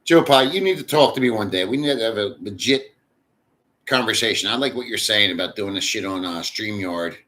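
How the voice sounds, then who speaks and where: A man's voice: fairly low pitch, fairly quick, sharp tone. Crude, foul-mouthed male livestreamer. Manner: crude, profane and rambling. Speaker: livestream host reading chat. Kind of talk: casual livestream chat.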